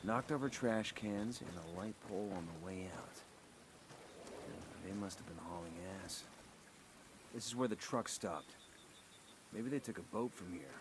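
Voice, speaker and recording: A man playing a gruff squad leader. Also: lowish voice, a little slow, pauses often, background noise, lightly acted. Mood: determined. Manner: gruff, blunt, military. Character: gruff male special forces squad leader. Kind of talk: video game dialogue.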